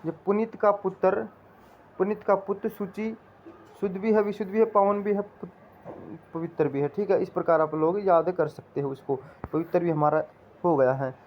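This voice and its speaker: A man's voice: high voice, steady tone, normal speed, slight background noise. A man teaching an exam-preparation class in an instructional style, explaining in a repetitive, drilling way. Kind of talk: instructional lecture with memory tricks. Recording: dull lo-fi audio.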